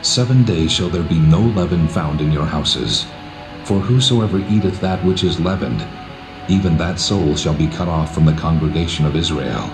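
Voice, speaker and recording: A man voicing a solemn, commanding God laying down law in measured, authoritative words, in a dramatised scripture reading. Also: low voice, poor audio. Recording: music behind the voice.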